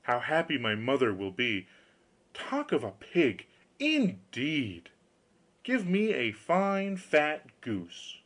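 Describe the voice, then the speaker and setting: A man's voice: mid-range voice, huge pitch swings, takes it slowly, fairly bright tone, heavily acted. A man voicing a gullible, cheerful young fellow in a storybook, with a naively enthusiastic, exclamatory manner. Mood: pleased, smug.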